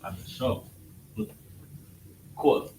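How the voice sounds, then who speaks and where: Casual male teacher in an echoey room. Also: drawn-out words. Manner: casual, checks understanding often. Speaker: class teacher. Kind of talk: classroom lesson on alphabet letters. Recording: echoey room, distant mic, faint noise.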